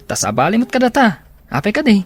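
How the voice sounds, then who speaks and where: A woman's voice: medium pitch, big pitch swings, fast talker, husky. A woman playing a matter-of-fact young student in a radio drama.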